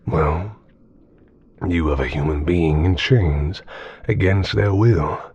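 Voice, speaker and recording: A man performs a coldly menacing immortal in a dark fantasy audio drama. His low, husky voice is haughty and condescending, with theatrical pauses, in a dry, slightly muffled recording. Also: full character voice. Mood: cold threat.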